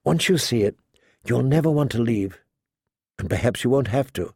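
A man's voice: medium pitch, expressive, moderate pace, darkish tone, slightly rough, no echo, studio quality. A man voicing a gracious, coaxing young noblewoman in an audiobook, with a courtly, inviting manner.

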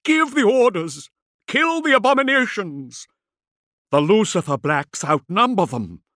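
A panicking lord of a far-future council, acted by a male reader, pitched well up and piercingly sharp. His rasping, strained voice makes frantic demands in a dramatic audiobook style. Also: very animated, slow pace, no echo, clean studio sound, exaggerated voice. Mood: hysterical panic. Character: hysterical male high lord, panicking and shrill.

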